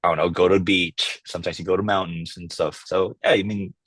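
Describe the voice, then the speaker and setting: Laid-back male guest, very low voice, dry close sound, loose rambling delivery. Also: breathy edge, no room sound. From Philippines. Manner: relaxed, halting, many fillers and restarts. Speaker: podcast guest, content creator. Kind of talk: casual interview chat.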